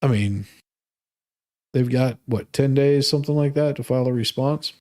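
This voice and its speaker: A man's voice: medium pitch, moderate pace, a little mellow. A man hosting a live stream, reading documents aloud and adding off-the-cuff, sardonic and mocking commentary.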